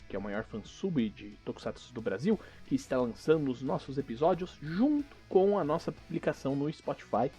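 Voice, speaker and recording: A man's voice: on the high side, darkish tone. A man with a Brazilian accent, casually narrating and hosting a tabletop role-playing podcast as game master.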